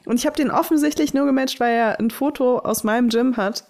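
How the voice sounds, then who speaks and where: A woman's voice: on the high side, brisk, darkish tone. A young woman co-hosting a podcast, chatting casually with a friend in a candid, giggly way. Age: young adult. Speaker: podcast co-host. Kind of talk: casual chat between friends.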